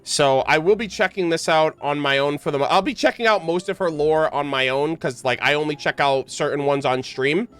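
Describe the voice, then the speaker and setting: A man's voice: a little high, fairly quick, hardly any pauses, fairly bright tone, clear, resonant tone. A man giving casual livestream commentary on a game in an enthusiastic, gushing way. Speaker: game streamer. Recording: game music behind.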